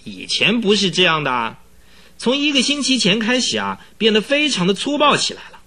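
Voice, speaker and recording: An actor in a radio drama playing an excitable, easily frightened horse trainer who tells things in a husky, rambling, dramatic way. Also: male voice, high voice, brisk, heavily acted. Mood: agitated, secretive. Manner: rambling, dramatic storytelling full of interjections.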